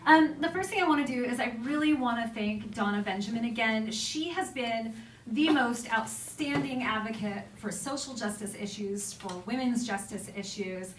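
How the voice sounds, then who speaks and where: A woman's voice: on the high side, moderate pace. A woman hosting a live event as emcee, addressing the audience informally and with effusive enthusiasm into a stage microphone. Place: indoor event room with an audience. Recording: echoey room, distant mic, faint noise.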